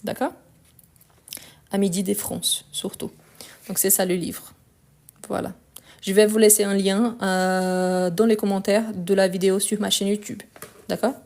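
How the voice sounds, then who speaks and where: A woman's voice: mid-range voice, level tone, measured pace, pauses often, darkish tone. A woman whose first language is Portuguese, teaching a French grammar lesson live, patiently going step by step and checking in with her viewers. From Brazil. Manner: patient, explains step by step, often checks in with listeners. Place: at home on a lunch break.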